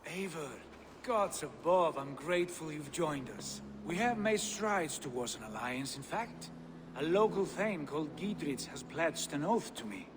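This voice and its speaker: An actor playing a proud, ambitious Norse warlord in a video game, lordly and declamatory. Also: male voice, on the high side, animated, measured pace. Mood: earnest, confident. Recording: game dialogue over clear ambient noise.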